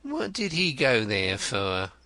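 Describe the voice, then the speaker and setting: A shrewd, wry detective in a storybook reading, voiced by a male narrator. He asks his questions slowly, with dry, teasing humor and a bright tone. The recording is close and dry. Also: medium pitch, huge pitch swings, no echo, pitched above the performer's own voice, full character voice.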